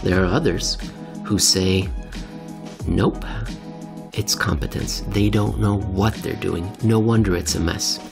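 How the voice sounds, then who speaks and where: A man hosting a podcast, reading dramatically and commenting conversationally, with deliberate, theatrical phrasing and pauses for effect. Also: low voice, steady tone, gravelly, over music. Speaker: podcast host and reader.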